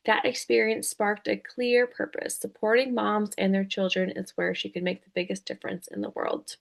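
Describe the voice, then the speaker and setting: A woman's voice: mid-range voice, flat delivery, moderate pace, vocal fry. A woman hosting a webinar as a dietitian, welcoming attendees and introducing the speaker from prepared notes.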